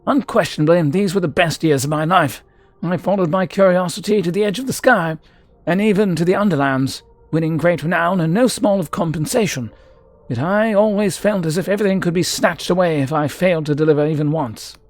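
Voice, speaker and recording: A man's voice: sits high, brisk, no echo, voice pushed up, lightly acted. A man voicing a proud, theatrical mercenary archaeologist from a fantasy game, telling his past in florid, formal speech. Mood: wistful, proud. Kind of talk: character voice in a fantasy game read-through.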